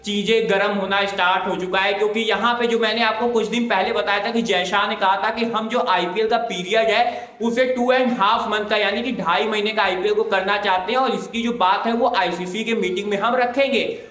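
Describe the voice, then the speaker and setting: A young man presenting an energetic cricket news roundup to camera, gesturing with his hands and repeatedly calling out to his viewers. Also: high-pitched, a little fast. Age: young adult. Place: small indoor room with plain wall. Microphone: clip-on lapel mic. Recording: roomy echo.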